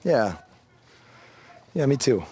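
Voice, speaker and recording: An actor playing a modest, wry young underdog wrestler in video game dialogue, speaking casually and a little hesitantly. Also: male voice, medium pitch, sing-song, slow, very noisy. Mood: modest, reflective. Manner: casual, hesitant conversational delivery.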